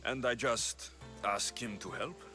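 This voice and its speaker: A man's voice: mid-range voice, unhurried, pushed and bright. An actor playing a blunt, dry-humored male mercenary in a video game cutscene, with game music behind.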